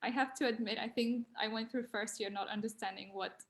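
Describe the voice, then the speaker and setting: A woman's voice: on the high side, fairly even intonation, fairly quick, vocal fry. A young woman speaking English as a second language, candid and a little hesitant as she reflects on a panel over a video call. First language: not English. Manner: hesitant, candid, self-deprecating. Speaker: design graduate panelist.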